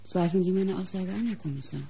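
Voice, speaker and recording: A mocking, embittered wife in a radio mystery. Her voice is breathy, dark and on the low side. She speaks theatrically, with measured, cutting phrasing. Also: full character voice. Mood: grave, defensive. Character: bitter, mocking wife of a painter. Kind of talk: German radio crime drama acting.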